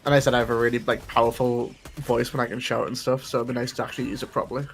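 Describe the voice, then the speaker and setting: A man chatting casually with friends while gaming, giving quick, excitable callouts. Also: medium pitch, creaky, a little hiss or hum. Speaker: co-player.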